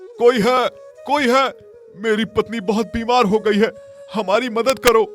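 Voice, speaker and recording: A man's voice: extremely high pitch, steady tone, unhurried, soft background music. A man voicing a poor, worried husband who pleads in a children's cartoon story. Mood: desperate.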